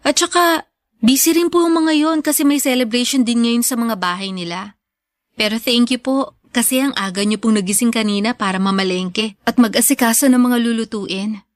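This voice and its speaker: A woman's voice: a little high, moderate pace, no room sound, clean studio sound, close to an everyday voice. A woman playing a devoted, respectful young Filipino graduate in a radio drama, speaking sweetly and politely to a parent. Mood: grateful, concerned.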